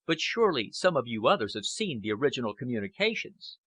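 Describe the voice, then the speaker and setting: A man plays a measured aristocratic gentleman in an audiobook novel, earnest and careful. His formal speech is clear-edged and bright, with lively intonation. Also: mid-range voice, dry recording. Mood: measured, diplomatic. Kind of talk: audiobook character acting.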